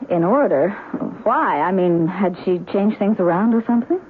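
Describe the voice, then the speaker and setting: An actress playing a concerned, inquisitive wife with a probing, questioning manner in a radio drama. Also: female voice, mid-range voice, normal speed, close to an everyday voice. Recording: tinny, noisy 1970s radio recording.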